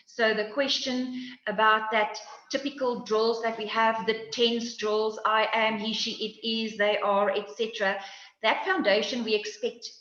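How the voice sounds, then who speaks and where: A middle-aged woman with a fairly high voice moderates a panel over a video call, asking long, winding questions full of fillers. The sound is roomy and a little dull, as if picked up by a laptop webcam. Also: level tone, unhurried, a bit bright, a little hiss or hum.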